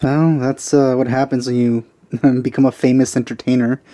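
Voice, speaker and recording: A man's voice: medium pitch, flat delivery, moderate pace, dark tone. A man giving casual commentary while playing a game, muttering hesitant asides. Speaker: gamer recording a playthrough. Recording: game music faintly behind.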